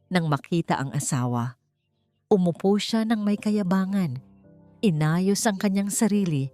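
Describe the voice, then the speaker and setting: A woman's voice: fairly low pitch, warm tone, dry recording. A woman reading a scripted, dramatic story as its narrator.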